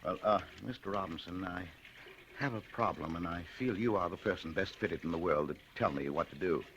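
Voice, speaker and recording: An actor playing a meek, shy, middle-aged husband secretly plotting murder in an old radio drama, with a hesitant, apologetic manner. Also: male voice, low-pitched, fairly quick, a bit bright, dull audio, a little hiss or hum. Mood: nervous, deferential. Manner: mild, hesitant, stammering, apologetic. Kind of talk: 1940s radio drama acting and narration. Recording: vintage radio recording with faint music.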